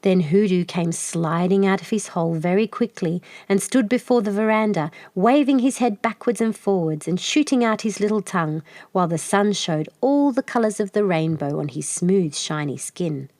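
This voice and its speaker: A woman tells a children's tale in a mid-pitched voice. The sound is dry and close, with no room around it. Her delivery is expressive, in the way of storybook narration for little listeners. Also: normal speed, few pauses, no echo.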